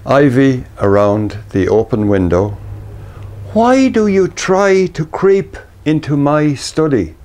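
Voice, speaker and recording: Male poet, Irish accent, medium pitch, slow and measured delivery with deliberate pauses. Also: darkish tone. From Ireland. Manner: measured, deliberate, with pauses between short phrases. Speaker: poet reading own work. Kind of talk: poetry reading.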